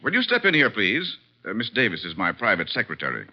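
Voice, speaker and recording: An actor playing a formal, hesitant and evasive middle-aged master of the house in a 1950s radio drama. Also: male voice, on the low side, wildly swooping pitch, fast talker, heavily acted. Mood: guarded. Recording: muffled old radio broadcast.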